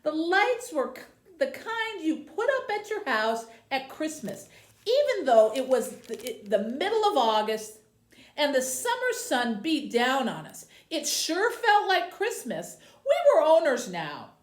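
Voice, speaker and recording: A woman with a fairly high voice speaks as a librarian addressing tweens. Her pitch moves up and down in a very animated way, while her pace stays slow and deliberate, as in a book talk or read-aloud. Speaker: youth services librarian hosting a book program.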